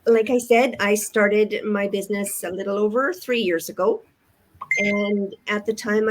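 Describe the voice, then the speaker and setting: An older woman from Canada, a retired nurse with grandchildren, telling her story on a live chat in an earnest, thoughtful way with pauses. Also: mid-range voice, poor audio. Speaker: online business owner, co-host. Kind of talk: casual chat.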